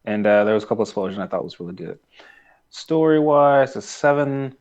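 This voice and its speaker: A man's voice: lowish voice, expressive, a little fast, a little mellow, muffled recording. A man co-hosting a podcast, recapping in casual chat with a hesitant, easily amused delivery. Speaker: podcast co-host.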